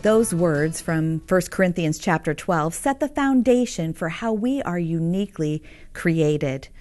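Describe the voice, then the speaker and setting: A woman author calmly teaching parents in a scripted, earnest and encouraging narration. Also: on the low side, measured pace, soft background music. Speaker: author and curriculum host.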